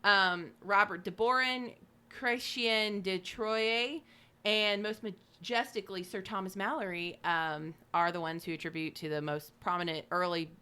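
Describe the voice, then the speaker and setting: Female podcast co-host, bright tone, average pitch, chatty and informal while reading prepared notes. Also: fairly even intonation, a little slow, clear, resonant tone. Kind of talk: reading notes aloud with casual asides.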